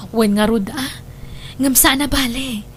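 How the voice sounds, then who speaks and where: A woman's voice: sits high, measured pace, slight background noise. A woman acting in a radio drama as a defensive married daughter still drawn to an old flame. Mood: coy, affectionate.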